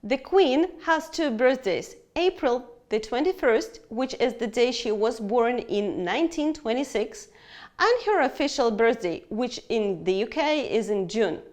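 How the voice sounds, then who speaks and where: High-pitched, animated woman teaching. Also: unhurried. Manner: instructional, addressing students with questions. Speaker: English teacher. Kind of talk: scripted online lesson narration.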